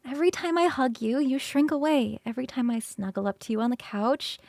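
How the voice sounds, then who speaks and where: Woman doing a bubbly succubus roommate for asmr roleplay, high girly voice, really dry close sound, rambly and teasing. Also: lively intonation, a little fast, vocal fry, a little mellow, no room sound. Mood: coaxing, sheepish.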